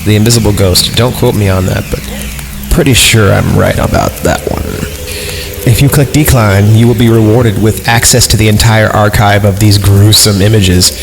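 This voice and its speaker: A man's voice: lowish voice, steady tone, moderate pace, vocal fry. A man reading horror stories on a podcast, breaking off into irreverent, slangy, sweary joking commentary. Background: music.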